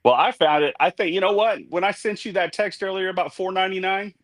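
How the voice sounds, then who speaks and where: A man's voice: sits high, fast talker, a bit bright, dry recording. Casting: fifties male guest, rambling and chatty, dry close sound. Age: 50s. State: getting over a head cold. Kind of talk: casual chat.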